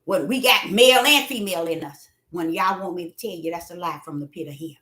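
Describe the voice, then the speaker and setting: A woman preaching a livestream sermon in a repetitive, preachy manner that swells into fervent shouts. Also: on the low side, fairly quick, reverberant.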